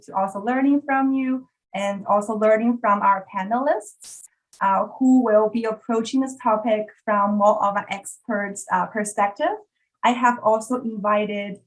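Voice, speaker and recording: A woman from China, speaking English as a second language, opening an online workshop with measured, hesitant phrasing over a video call. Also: medium pitch, rough recording. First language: Chinese. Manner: measured, with frequent ums and uhs. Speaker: workshop facilitator.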